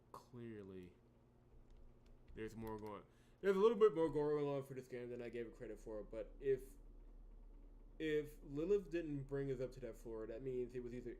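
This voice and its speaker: A man doing let's play commentary, thinking aloud in animated bursts. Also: mid-range voice, slight background noise. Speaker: game playthrough host. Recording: music behind the voice. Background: video game music.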